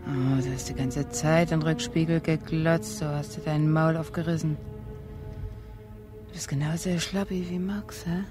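An actress playing a disillusioned, provocative young woman in a radio play, deliberate and at times dropping into a whisper. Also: deep voice, fairly even intonation, over music, close to an everyday voice. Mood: taunting.

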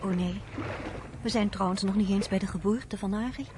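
A woman's voice: medium pitch, steady tone, moderate pace, lightly acted. An actress playing an affectionate woman listening to a story in a Dutch radio play. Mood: tender, curious. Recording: noisy background.

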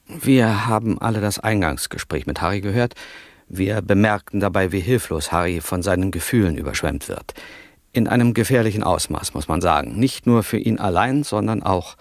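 Low-voiced, condescending male psychotherapist. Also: expressive, no room sound, clean studio sound. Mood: measured, clinical. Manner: measured, clinical, condescending. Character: smug, controlling male behaviour technologist and psychotherapist. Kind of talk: radio drama acting.

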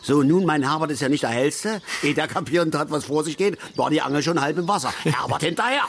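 A man's voice: a little high, quick pace, gravelly, fairly bright tone. An actor playing a grumbling, opinionated middle-aged angler and father in a radio drama, lecturing and blustering with questioning tags. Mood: gleeful. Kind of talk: naturalistic radio drama dialogue. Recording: faint outdoor background noise.

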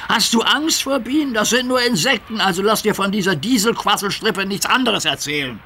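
A man voicing a boastful, excitable, huffy red steam engine in a children's audio story. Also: sits high, fairly quick, a little hiss or hum, voice pushed up, heavily acted. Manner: cocky, excitable.

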